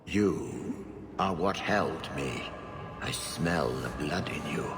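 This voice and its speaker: Slow, booming ancient corrupted magister. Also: male voice. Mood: imperious menace. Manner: commanding, grandiose, archaic, drawn-out oratory. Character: ancient corrupted magister-monster, imperious, bewildered, fanatical. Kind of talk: dramatic fantasy video game acting. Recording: long cavernous reverb, music underneath.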